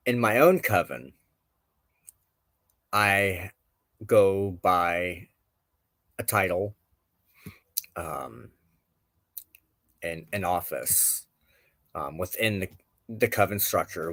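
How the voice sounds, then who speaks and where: A man with a low voice talks in a slow, halting way, as if working out each thought while he speaks. He hosts an informal, reflective show, and the sound is close and dry, with very little room in it. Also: vocal fry, a bit bright, dry recording. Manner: halting, searching for words, contemplative. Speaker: host of a spiritual show. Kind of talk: informal reflective talk to camera.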